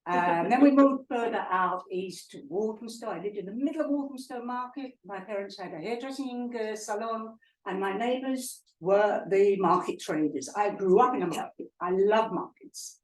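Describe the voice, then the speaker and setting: An older woman from London with a softened London accent, giving a chatty talk to an audience with many fillers and restarts. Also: mid-range voice, moderate pace. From England. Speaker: guest speaker. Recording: echoey hall, heard from far off.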